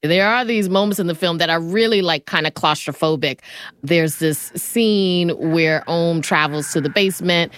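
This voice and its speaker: A woman's voice: on the low side, normal speed, fairly bright tone, dry recording, clean studio sound. A woman hosting a radio interview in a conversational broadcast style.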